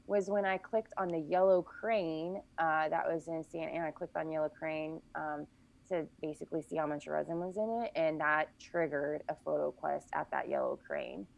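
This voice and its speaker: A woman's voice: low voice, fairly even intonation, moderate pace, vocal fry, muffled recording. A woman guest on a podcast, casually chatting and recounting events in long, detailed runs with frequent self-corrections.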